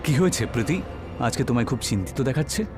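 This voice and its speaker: A man's voice: mid-range voice, animated, quick pace, over music, natural voice. A man voicing a concerned young college student in cartoon dialogue. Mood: worried.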